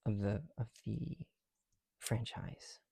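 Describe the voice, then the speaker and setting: A soft-spoken man chatting casually in an ASMR style, often dropping into whispers, with a creaky voice and a rambling, halting delivery, close to the mic. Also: fairly low pitch, steady tone, dry recording. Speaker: ASMR video creator. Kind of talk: casual ASMR chat while building bricks.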